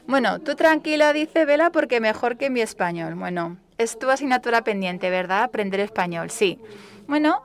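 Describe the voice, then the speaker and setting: A woman, interpreting live on stage in a lively, expressive way. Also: medium pitch.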